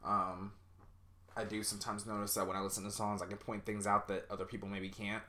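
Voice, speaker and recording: Low-voiced guy rambling on his own podcast, lots of ums, kinda bright and forceful tone. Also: flat delivery, brisk. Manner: rambling, self-deprecating, lots of ums and likes. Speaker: podcast host. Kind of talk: casual solo podcast monologue.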